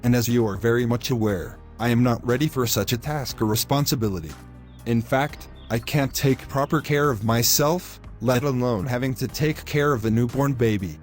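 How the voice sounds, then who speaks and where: A man acting a pushy, low-voiced selfish boyfriend over music. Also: moderate pace, close to an everyday voice. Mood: dismissive, evasive. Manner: formal wordy phrasing, insistent. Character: pushy, selfish young boyfriend pressuring his girlfriend. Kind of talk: scripted moral-story dialogue.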